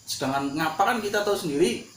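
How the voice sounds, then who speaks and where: A man's voice: high voice, animated, quick pace, a bit bright, recorded from a distance. A man co-hosts a loose, joking chat. He asks questions and tosses in fillers. He sits a little back from the mic in an echoey room, with a faint hiss behind him. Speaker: podcast co-host. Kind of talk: casual chat in Indonesian with Javanese phrases.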